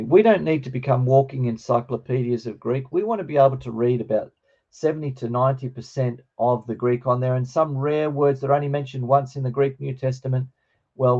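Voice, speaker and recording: A middle-aged man with a slightly croaky voice, teaching an informal online lesson in a hesitant, explanatory way. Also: mid-range voice, a little mellow. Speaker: teacher of New Testament Greek. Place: online video call with screen share. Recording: dull, lo-fi online call.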